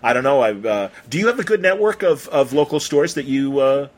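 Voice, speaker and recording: A man hosting a casual podcast interview, talking in an effusive, rambling, halting way with some mild swearing. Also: medium pitch, animated. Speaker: podcast host interviewing a guest.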